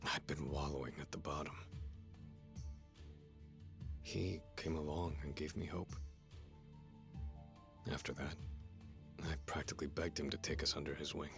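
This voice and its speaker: A man's voice: rumbling low, fairly even intonation, unhurried, gravelly, pauses often, full character voice. A volatile gangster in a game drama. He is coarse and intense, weighed down by guilt, with music behind him. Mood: regretful, reflective. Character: volatile, guilt-ridden male gangster confessing betrayal. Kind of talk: dramatic English video game dub.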